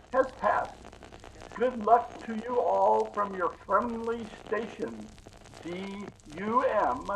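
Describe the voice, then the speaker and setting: A man plays a solemn station announcer in a radio comedy. He gives formal instructions slowly in a bright, forceful voice, with light noise on the recording. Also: high-pitched, gravelly, exaggerated voice, low-quality recording.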